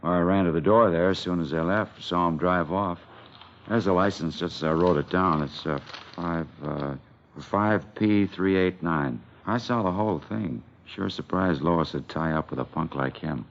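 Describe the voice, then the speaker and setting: A plain-spoken American lunch counter owner, with a deep voice and a talkative, slightly rambling way of giving his account. It sounds like a dull old 1950s radio drama recording. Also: moderate pace, slight background noise. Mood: recounting. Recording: muffled vintage radio recording.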